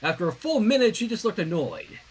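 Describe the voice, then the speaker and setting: High, animated, husky-voiced man reading aloud. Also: brisk. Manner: expressive, acts out dialogue. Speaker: host reading fan fiction aloud. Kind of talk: dramatic read-aloud of a story with casual commentary.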